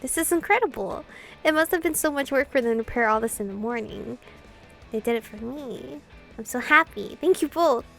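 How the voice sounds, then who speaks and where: A woman voicing an earnest, soft-hearted young policewoman in a visual novel, hesitant and heartfelt. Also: high-pitched, expressive, normal speed, gravelly, faint music behind, heavily acted. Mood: delighted, grateful.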